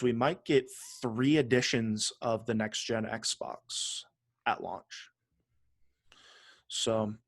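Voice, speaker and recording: A man's voice: medium pitch, fairly bright tone. A man co-hosting a video game podcast, chatting casually in a blunt, opinionated way. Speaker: podcast host.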